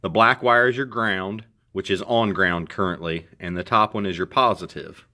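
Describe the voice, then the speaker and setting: A middle-aged man hosting a casual how-to walkthrough in his garage, relaxed and plain-spoken, with a few restarts as he explains. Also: lowish voice, normal speed. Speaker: host. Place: indoor garage.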